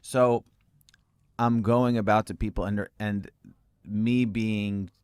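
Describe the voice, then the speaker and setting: Calm, low-voiced man. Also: slow pace, smooth. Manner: calm, even-toned, laughs often, many fillers. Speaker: interview guest, street interviewer. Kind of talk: relaxed conversational storytelling.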